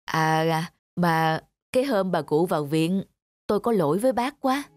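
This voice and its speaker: Humble, apologetic old cartoon doctor. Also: male voice, high-pitched, animated, normal speed, dry recording, studio quality, faint music behind. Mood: remorseful, grateful. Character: remorseful, polite elderly male doctor in glasses. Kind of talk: cartoon dubbing.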